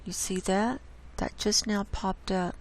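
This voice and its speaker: A hoarse woman hosting her own channel, walking casually through earthquake maps on screen and pointing out each spot with an unhurried, gravelly delivery. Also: medium pitch, fairly even intonation. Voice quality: gravelly, coughs and clears throat. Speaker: online science channel host. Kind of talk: casual screen-share data report. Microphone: desk mic.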